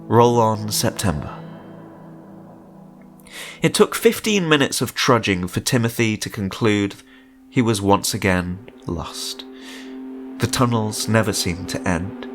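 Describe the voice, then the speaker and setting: A man reading a scripted horror story as narrator, in a dramatic storytelling style. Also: lowish voice. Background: music.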